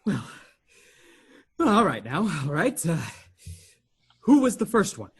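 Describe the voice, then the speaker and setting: An actor playing a frantic, appalled nephew in a comedy radio play, flustered and stammering. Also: male voice, high-pitched, wildly swooping pitch, slow, fairly bright tone, husky, exaggerated voice. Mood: strained patience. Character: frantic, appalled nephew of two elderly aunts. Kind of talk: stage comedy radio theatre acting.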